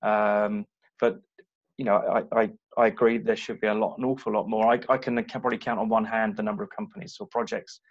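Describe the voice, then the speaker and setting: Low-voiced man hosting, hesitant. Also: a little fast, gravelly. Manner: hesitant, many ums and repeated words. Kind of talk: panel discussion. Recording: muffled online call audio.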